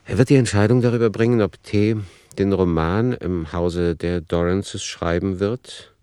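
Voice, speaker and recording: Deep-voiced deliberate literary author. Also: male voice, warm tone, clean tone, no echo, clean studio sound. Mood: measured, ironic. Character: reflective male author musing over his novel. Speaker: narrating author in a radio play. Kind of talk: literary radio play narration.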